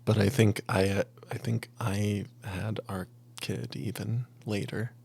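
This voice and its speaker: A man in his late thirties co-hosting a podcast, reacting in a relaxed, amused way during casual chat. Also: lowish voice, measured pace, vocal fry, husky. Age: late 30s. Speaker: podcast co-host.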